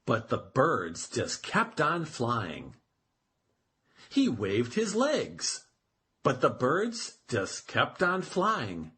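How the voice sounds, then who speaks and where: A storyteller reading a children's tale aloud as expressive, deliberate narration. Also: medium pitch, husky.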